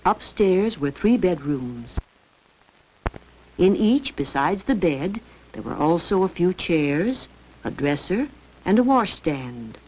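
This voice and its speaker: Female narrator for educational film, scripted read, lowish pitch, muffled old-film recording. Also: sing-song, slow pace.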